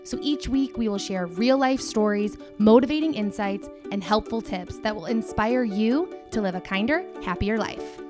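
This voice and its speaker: A woman reading a scripted podcast intro in a bright, upbeat delivery. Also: mid-range voice, normal speed, few pauses, music in the background. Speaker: podcast co-host. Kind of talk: scripted intro over music.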